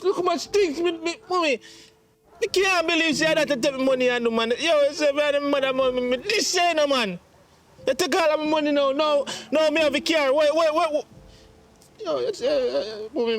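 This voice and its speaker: A man with a Jamaican accent playing a distraught grown son in a domestic drama, pleading and reproachful, with halting, repetitive speech. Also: sits high, natural voice. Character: distraught grown son reproaching his mother over money. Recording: faint background noise.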